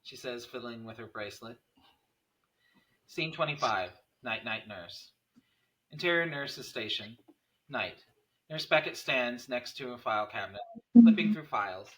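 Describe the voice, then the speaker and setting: A man's voice: mid-range voice, very animated, measured pace, long pauses, slightly rough, low-quality recording. A man reading stage directions aloud as narrator of a screenplay table read.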